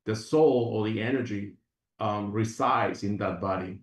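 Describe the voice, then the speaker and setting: Guest from Venezuela, earnest rambler with restarts, a bit far off the mic. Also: male voice, on the low side, measured pace, roomy sound. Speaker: guest, functional medicine practitioner. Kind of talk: reflective interview talk.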